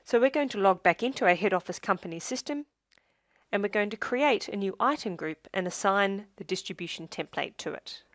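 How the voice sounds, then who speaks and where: A woman narrating a scripted software tutorial as a demonstrator, in a measured, step-by-step instructional way. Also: medium pitch, animated, no echo, clean studio sound.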